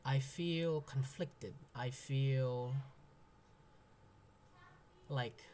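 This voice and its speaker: A young man of eighteen speaks English, slowly and haltingly, stopping often to search for words. His voice sits at a middle pitch, soft and introspective. A faint hum of room ambience is heard behind him. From Indonesia. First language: Indonesian. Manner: hesitant, halting, full of ums and restarts. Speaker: college freshman. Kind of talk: personal venting monologue.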